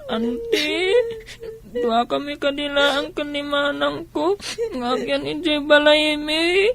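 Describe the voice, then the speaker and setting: A woman's voice: high voice, slow, vocal fry, a bit bright, exaggerated voice. A woman playing a small, timid orphaned younger sibling in a radio drama. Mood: tearful, pleading.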